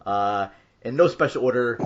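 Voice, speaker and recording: A man's voice: medium pitch, normal speed, slight background noise. Male podcast host, dull lo-fi recording. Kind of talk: casual podcast introduction.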